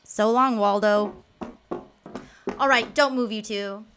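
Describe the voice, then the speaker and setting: A man plays a drunken barfly gunman in a radio drama. His voice sits high and strained, and he taunts and gloats as he gives orders. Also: steady tone, slow pace, heavy background noise. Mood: menacing, gloating. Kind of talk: old-time radio drama acting.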